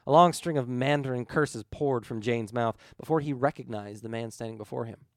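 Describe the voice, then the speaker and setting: Guy reading a story, audiobook narration, gravelly fry in his voice, dry recording. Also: mid-range voice, brisk, no room sound. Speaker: audiobook reader.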